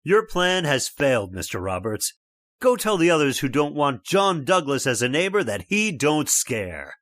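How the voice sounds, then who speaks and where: A man's voice: fairly high pitch, very animated, moderate pace, fairly bright tone. An actor playing a stubborn, skeptical middle-aged father in a radio horror drama, scornful and dismissive. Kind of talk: old-time radio drama acting.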